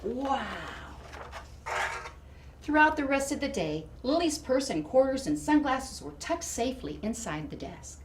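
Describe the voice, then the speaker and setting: A woman reading a children's picture book aloud expressively, with dramatic emphasis and hushed asides to her listeners. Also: high voice, very animated, normal speed, few pauses. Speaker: storybook reader. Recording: distant mic, faint background noise.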